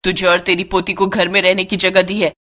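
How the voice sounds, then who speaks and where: A woman's voice: on the low side, level tone, a little fast, a bit bright. A woman voicing a cruel, scolding middle-aged daughter-in-law in a moral story, harsh and contemptuous. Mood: scornful.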